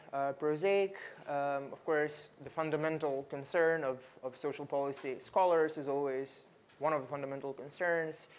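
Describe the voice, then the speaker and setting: A young man from North Macedonia speaking as a researcher on a panel, measured, with frequent fillers and repeated words. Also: fairly high pitch. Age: young adult. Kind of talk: panel talk.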